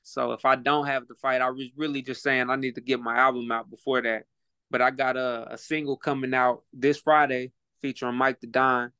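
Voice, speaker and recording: Bright, clear-voiced man with a flat delivery. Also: mid-range voice, brisk, no echo. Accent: Oklahoma. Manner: laid-back, reflective, repeats words while thinking. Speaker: guest, rapper and boxer. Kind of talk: casual podcast interview.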